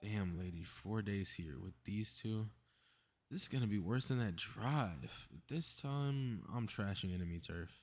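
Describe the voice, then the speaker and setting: A man's voice: on the low side, animated, unhurried, a little hiss or hum. A man playing a cocky, snarky superhero in a scripted audio roleplay, muttering to himself with sarcastic exasperation. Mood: weary, defiant. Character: cocky, snarky, meddling invisible male superhero grumbling to himself.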